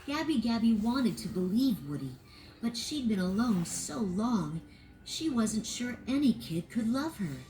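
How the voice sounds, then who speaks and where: A woman narrating a children's read-along storybook in an expressive, sing-song way. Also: on the high side, measured pace, soft background music, poor audio.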